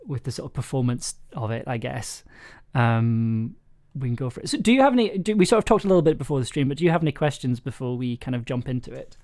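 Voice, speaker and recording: A man with a mid-pitched voice talks casually through a script as its director. He thinks aloud, halting and repeating words while he works out his explanation. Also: big pitch swings, darkish tone. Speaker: audio drama writer and director hosting a livestream.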